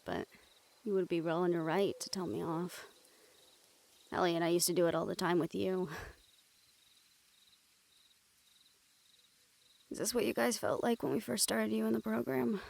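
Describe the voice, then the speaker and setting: A woman acting in an intimate audio roleplay as a remorseful, weary young woman with dangerous powers, speaking softly and hesitantly to the listener. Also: on the low side, gravelly, exaggerated voice. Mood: self-reproachful.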